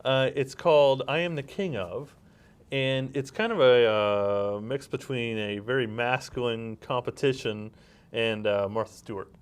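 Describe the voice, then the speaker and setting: A man co-hosting a comedy podcast in a casual, halting, informal way as he introduces segments. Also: medium pitch, clean tone. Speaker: podcast co-host.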